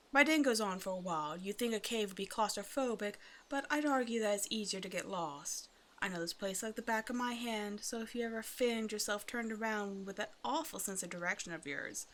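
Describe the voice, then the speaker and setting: A woman's voice: mid-range voice, fairly bright tone, a little hiss or hum. A woman playing a predatory female naga in an ASMR roleplay, talking to the listener with teasing, condescending, casual menace. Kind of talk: ASMR roleplay, one-sided scripted dialogue to the listener.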